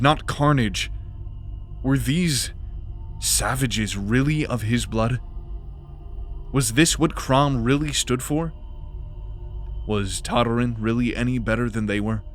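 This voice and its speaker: A man delivers dramatic fantasy narration in a medium-pitched voice. His storytelling is deliberate and grave.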